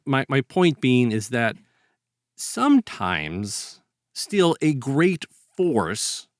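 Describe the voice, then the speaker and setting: A man's voice: mid-range voice, dry recording, clean studio sound. An American man co-hosting a podcast, talking casually in a reflective, hedging way with wry humor. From South Texas, United States (lives there). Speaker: podcast co-host. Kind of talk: casual discussion.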